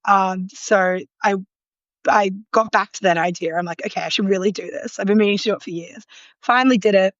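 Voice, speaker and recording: A woman's voice: mid-range voice, fairly quick, no echo, muffled recording. A woman with an Australian accent, a guest talking casually in a live online interview, with many ums and likes and frequent small laughs. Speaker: guest, food illustrator. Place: apartment in a loud city, sirens outside. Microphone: remote live call.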